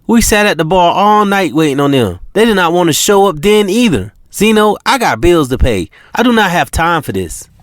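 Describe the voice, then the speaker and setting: A man voicing a brash young woman who talks loud and street-tough, in an audiobook-style story. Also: high voice, very animated, no echo, clean studio sound, pitched above the performer's own voice. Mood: indignant. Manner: loud, fast, indignant.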